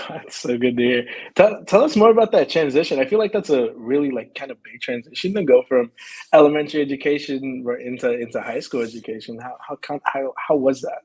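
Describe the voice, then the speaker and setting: A man's voice: mid-range voice, brisk, a little mellow, breathy edge, poor audio. A young man hosting a casual interview, upbeat, laughing often and restarting his questions. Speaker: interview host.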